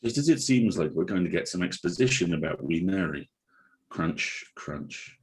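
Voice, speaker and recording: Deep-voiced man narrating a drama script. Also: expressive, moderate pace. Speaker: narrator reading script and stage directions.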